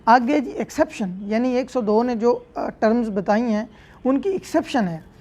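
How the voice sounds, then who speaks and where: A woman's voice: a little high, animated, normal speed, mellow. A woman with a Pakistani accent giving an explanatory law lecture, methodically restating each point as a teacher would. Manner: didactic, repeats and rephrases each point. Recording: dull, muffled lo-fi audio.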